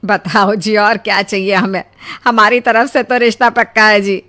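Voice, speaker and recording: A woman's voice: mid-range voice, fairly even intonation, moderate pace, pushed and bright, natural voice. A woman voicing an insistent, nagging middle-aged mother in a Hindi cartoon story. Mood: pleased. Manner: scolding, insistent.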